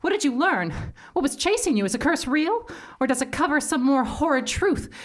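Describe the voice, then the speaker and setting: A woman playing an intense, frightened investigator, narrating a gothic stage drama in a theatrical, deliberate manner. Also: high-pitched, big pitch swings. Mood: urgent, pleading. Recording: clearly noisy live theatre recording.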